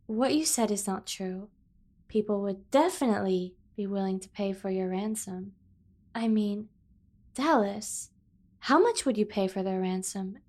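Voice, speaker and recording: A woman playing a doting, obsessive kidnapper sibling in an ASMR roleplay, coaxing and teasing with drawn-out emphasis. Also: a little high, a little mellow. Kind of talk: scripted ASMR roleplay acting.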